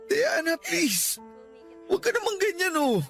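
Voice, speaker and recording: A steadfast young man comforts and pleads with earnest feeling in a radio drama. His voice is rather high for a man. Faint music drifts in the background. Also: big pitch swings, measured pace, vocal fry, a bit bright, full character voice. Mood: desperate pleading.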